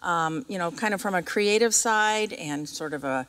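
Low-pitched American woman interviewer in a hall. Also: normal speed, fairly bright tone. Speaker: interviewer, school community relations coordinator. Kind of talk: conversational interviewing. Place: seated on the stage of a theatre auditorium. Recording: reverberant empty auditorium.

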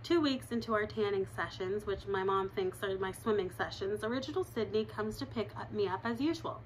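A woman's voice: medium pitch, fairly quick, slight background noise, low-quality recording. A woman in her thirties reading a chapter book aloud in her living room, breaking off for chatty asides to her listeners. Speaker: reader.

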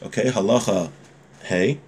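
A man's voice: fairly low pitch, a little slow, a little mellow, slight background noise. A man around forty giving an informal religious lesson in a conversational way, reading Hebrew phrases and explaining them with everyday examples, recorded at a desk in a home room. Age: 30s to 40s. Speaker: religious teacher. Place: small home room, indoors. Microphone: webcam or device mic at desk distance.

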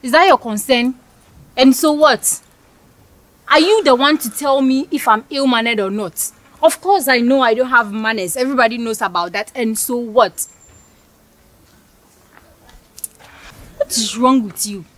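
An actress playing a rude, snobbish young woman who mocks and shouts with contempt. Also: fairly high pitch, lively intonation, a little slow. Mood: outraged. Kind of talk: scripted skit acting.

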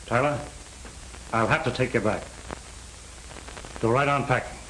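A middle-aged American actor playing a stern, matter-of-fact parole officer, curt and authoritative, in a 1930s crime film. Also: male voice, mid-range voice, a little slow, a bit bright, close to an everyday voice, low-quality recording. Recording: hissy 1930s film soundtrack.

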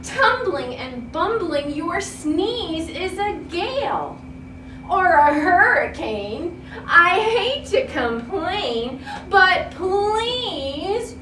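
A woman's voice: very high-pitched, very animated, very slow, sharp tone, reverberant, far from the mic, a little hiss or hum, full character voice. A woman voicing a dismayed, complaining buffalo in a children's storybook, pleading emphatically.

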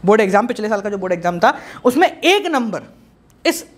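Lively male teacher with a bouncy voice. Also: sits high, a little fast. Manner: lively and playful, repeats key terms, gestures while talking. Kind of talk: energetic exam-prep lecture, Hindi mixed with English. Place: studio in front of a projected slide screen.

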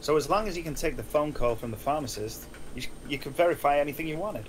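A man's voice: a little high, expressive, quick pace, slight background noise, close to an everyday voice. A man playing an inquisitive, somewhat hesitant character in a table read of a crime film script. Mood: curious.

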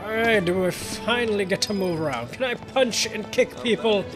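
Young dude streaming a game, kinda high voice, tired and sarcastic, game music under him. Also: male voice, moderate pace. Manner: tired, sarcastic, swears a lot. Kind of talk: casual gameplay commentary.

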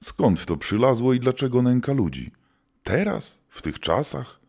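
A man playing a world-weary, gruff hunter of demons who keeps the old gods, in a theatrical scripted audio drama. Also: mid-range voice, very animated, unhurried, a little mellow, exaggerated voice. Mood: grave, weary.

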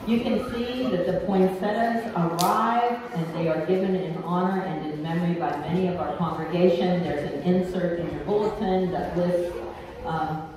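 A woman in her sixties, a pastor, giving church announcements and leading a call to worship in a homely, unhurried way. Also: on the low side, steady tone, a crowd in the background. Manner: homely, unhurried, with frequent ums. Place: church sanctuary. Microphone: pulpit mic from a far camera. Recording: echoey church from far off, noisy.

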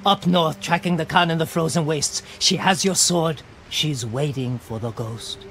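A man's voice: a little high, unhurried, a bit bright, faint music behind. A man playing a samurai's loyal friend in a video game, plain-spoken and urgent. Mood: urgent persuasion. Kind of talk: dramatic video game acting. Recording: faint background ambient noise.

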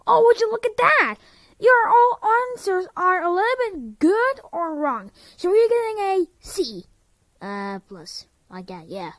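A woman performing amateur cartoon voice lines in a theatrical, drawn-out way. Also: very high-pitched, very animated, no room sound. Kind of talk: exaggerated amateur animation character voice lines.

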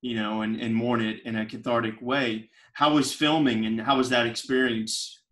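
A man's voice: mid-range voice, fairly even intonation, moderate pace, fairly bright tone. A man hosting a casual interview, encouraging his guest with frequent short affirmations. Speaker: podcast host. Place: Williamsburg apartment.

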